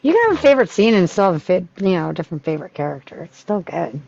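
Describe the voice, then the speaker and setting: Female cast member, conversational, lowish pitch, muffled lo-fi recording. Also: very animated, racing, vocal fry, a little mellow, slight background noise. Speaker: table read cast member. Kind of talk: casual chat. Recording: dull, muffled lo-fi sound.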